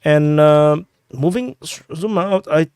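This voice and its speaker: A man who is sick, hosting a war update and walking through a map, talking haltingly with many fillers and restarts on a close mic. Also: a little high, darkish tone, smooth, no room sound. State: sick, clearing throat. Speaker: war news host.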